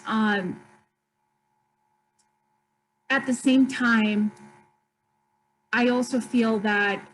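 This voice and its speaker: A woman's voice: medium pitch, a little hiss or hum, rough recording. A woman in her thirties or forties, from Colombia, speaking openly and earnestly as a guest on a video call interview. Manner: open, earnest, speaks of hard times steadily. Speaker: store owner, guest.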